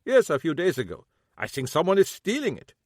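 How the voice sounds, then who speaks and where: Man voicing an old German cobbler, gruff, broken English, German accent, dry close sound. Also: on the high side, huge pitch swings, a little fast, darkish tone, dry recording, voice pushed up. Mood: defensive. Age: older. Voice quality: clipped broken English, prickly.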